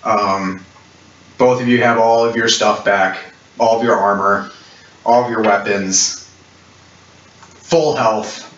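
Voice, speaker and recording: A man acting as game master, describing scenes in a halting, explanatory way for a tabletop role-playing game. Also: lowish voice, steady tone, a bit bright. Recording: roomy, muffled distant mic with clicks, hiss.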